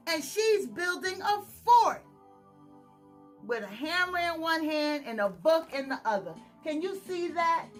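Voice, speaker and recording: A woman reads a picture book aloud to children in a very bright, high voice. She takes her time, stretching words in an exaggerated singsong and addressing the listeners with questions. Faint music plays behind her. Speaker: children's storytime host, author. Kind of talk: animated read-aloud storytelling to children.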